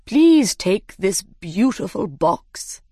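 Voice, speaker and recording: Storybook dodo, male played by a woman: pompous and bossy, very slow, deliberate delivery, close dry sound. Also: mid-range voice, big pitch swings, a little mellow, husky, dry recording, exaggerated voice. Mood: solemn. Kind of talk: children's storybook character reading.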